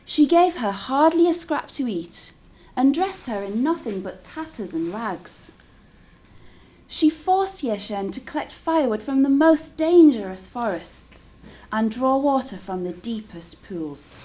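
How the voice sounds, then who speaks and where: A woman's voice: a little high, lively intonation, a little slow, dark tone. A woman teacher reading a story aloud to children in a dramatic, engaging way. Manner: dramatic, engaging listeners with questions.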